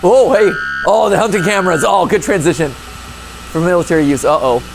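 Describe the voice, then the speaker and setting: A man's voice: high-pitched, normal speed, gravelly, background noise. Male host for casual reaction commentary, playful and joking, conversational delivery.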